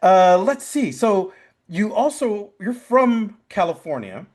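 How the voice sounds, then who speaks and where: A man's voice: high-pitched, sing-song, takes it slowly, darkish tone. A man hosting a casual interview, whose first language was Spanish, who talks with enthusiasm and affirms his guest with many fillers. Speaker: podcast host.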